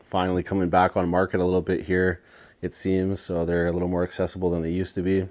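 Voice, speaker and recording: A man's voice: low-pitched, monotone, fast talker, a little mellow. A man talking casually to viewers as an eating vlogger, with a laid-back, slangy, playful way of speaking. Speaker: food and lifestyle vlogger.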